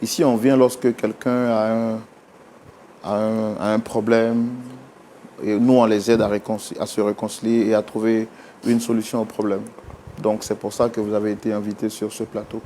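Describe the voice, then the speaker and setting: A man's voice: on the low side, flat delivery, moderate pace, mellow, a little hiss or hum. A man hosting a reconciliation talk show, probing his guests and pressing them for direct answers.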